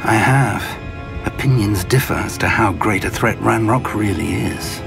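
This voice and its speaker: A man playing a kindly, learned older wizard professor in a video game, with a measured, formal, articulate way of talking. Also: fairly low pitch, vocal fry. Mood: measured, explanatory. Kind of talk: cinematic game dialogue acting. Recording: music under the dialogue.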